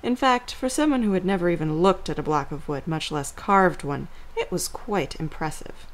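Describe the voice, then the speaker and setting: A woman narrates a scripted story. Her voice sits a little low for a woman, and she reads prose as the narrator of a drama. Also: animated, normal speed.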